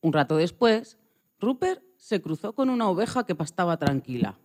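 A narrator telling a scripted children's story with expressive delivery. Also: medium pitch, normal speed.

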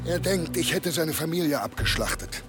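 A man's voice: a little high, animated, moderate pace, fairly bright tone, faint music behind. A man playing a level-headed, wary village warrior in a German audio drama, sober and cautioning.

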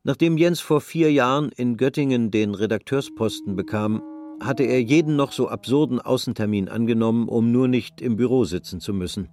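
A man narrating a literary radio drama in a sober, measured manner. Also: medium pitch, darkish tone.

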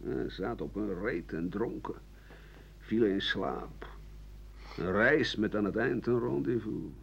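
An actor playing a bitter old French doctor-writer in a radio play, rambling through a sardonic, coarse, self-justifying monologue in a husky voice. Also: male voice, lowish voice, measured pace, full character voice. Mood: bitter, scornful. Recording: dull lo-fi 1980 radio recording.

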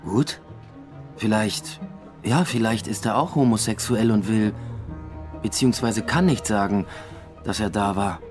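An actor playing an offhand, evasive police detective in a naturalistic radio drama. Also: male voice, fairly low pitch, unhurried, pauses often, over music, close to an everyday voice. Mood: doubtful.

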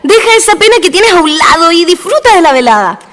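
A woman playing an excitable, concerned young female friend in a radio drama, speaking in urgent exclamations. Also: extremely high pitch, fast talker, in a large hall, heavily acted. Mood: concerned, encouraging.

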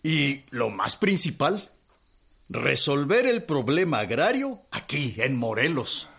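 An actor playing an evasive, ingratiating older government envoy in a radio drama, with a Mexican accent and a hesitant, placating manner. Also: male voice, mid-range voice, very animated, slow, vocal fry, a bit bright, heavily acted. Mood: coaxing. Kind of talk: Spanish-language radio drama acting.

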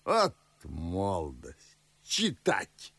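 An actor playing a fussy, fawning elderly Moscow gentleman with a gravelly, husky voice in a classic radio play. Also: low-pitched, wildly swooping pitch, very slow, no echo, full character voice. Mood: flattering. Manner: fawning, fussy, chuckling host.

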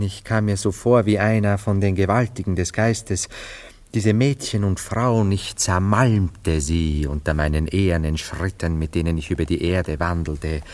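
A low male voice in the manner of a radio drama. He is a wistful, self-absorbed romantic who muses theatrically over old loves and savors his words. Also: measured pace, a little mellow. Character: nostalgic, self-absorbed romantic man recalling past love affairs. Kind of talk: German radio play acting.

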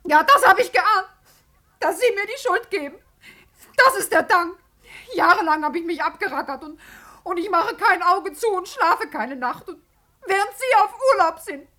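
A woman's voice: very high voice, lively intonation, normal speed, sharp tone, heavily acted. An actress playing a distraught, defensive female bookkeeper in a 1950s German radio drama, stammering and self-righteous. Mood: indignant, near tears. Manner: flustered, stammering, repeating words.

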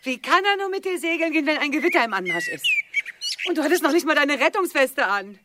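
Frantic mother, very high-pitched voice. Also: lively intonation, fast talker, background noise. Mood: agitated, reproachful. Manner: agitated, insistent. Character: frantic, distraught wife and mother, imperious, unravelling. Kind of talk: German radio drama acting.

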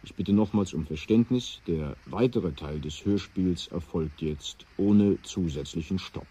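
Male radio announcer: very deep, dark, formal, sober, measured scripted delivery. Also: husky.

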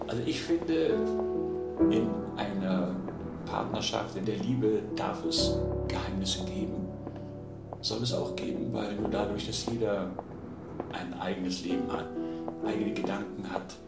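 A man's voice: lowish voice, unhurried, gravelly, long pauses. A man giving his opinion spontaneously, as in an interview. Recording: roomy echo, loud guitar music.

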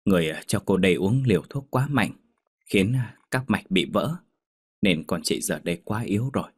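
A man's voice: low-pitched, lively intonation, normal speed, slightly rough. A man voicing a weary village healer who speaks in a subdued, regretful way. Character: weary middle-aged village healer, apologetic.

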